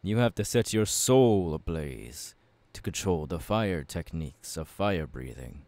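Guy doing a fiery anime swordsman mentor for asmr roleplay, lowish voice, takes his time, dramatic pauses. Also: lively intonation, darkish tone, no echo. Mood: welcoming, instructive. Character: confident, fiery swordsman mentor, male anime demon slayer. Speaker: voice actor. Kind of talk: ASMR audio roleplay, addressing the listener.

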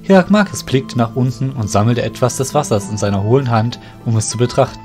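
Male fantasy narrator over clear music. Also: medium pitch, animated, a little fast, darkish tone. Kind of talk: scripted fantasy audio drama narration.

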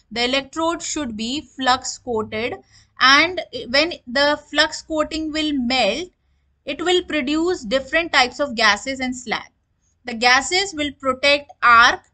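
A woman's voice: high voice, sharp tone. A woman giving a technical lecture over slides, explaining each term and then restating it in simpler words.

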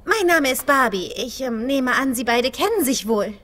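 A woman's voice: high voice, animated, normal speed. A woman playing a cheerful, curious young horse lover in a cartoon audio play, polite and eager. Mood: politely curious. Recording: music under the voice.

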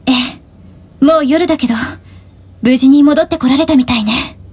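A woman's voice: high voice, moderate pace, darkish tone, lightly acted. An actress playing a composed, serious teenage schoolgirl demon fighter in an anime-style video game, cool and matter-of-fact. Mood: calm.

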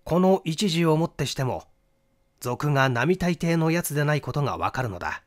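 A male audiobook narrator reads mystery fiction with deliberate, dramatic delivery. His voice sits a little high for a man and moves widely in pitch from phrase to phrase. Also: lively intonation. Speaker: audiobook narrator, stage actor. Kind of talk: scripted mystery novel narration.

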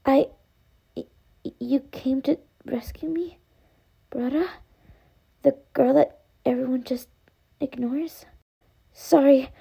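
A woman voicing a lonely, lovestruck young bug girl from a video game, shy, halting and breathy. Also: sits high, steady tone, warm tone, exaggerated voice. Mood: shy, flustered. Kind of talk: fan dub of video game dialogue.

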